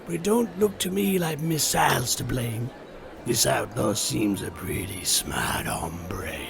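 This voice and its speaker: A man's voice: medium pitch, sing-song, slow, creaky, a crowd in the background, exaggerated voice. A man playing a folksy, suspicious cowhand in a comic-book Western audio drama. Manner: folksy cowboy speech. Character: folksy, suspicious cowhand eager for frontier justice. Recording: music behind the voice.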